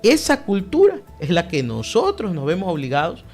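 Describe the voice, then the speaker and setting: Emphatic man, lively pitch. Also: moderate pace, soft background music. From Ecuador. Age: young adult. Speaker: political guest, party director. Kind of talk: radio interview answer.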